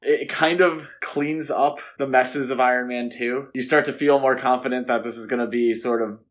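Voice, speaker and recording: A man with a medium-pitched voice talks as a podcast co-host. He discusses films casually and conversationally, slipping in wry asides. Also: fairly even intonation, normal speed.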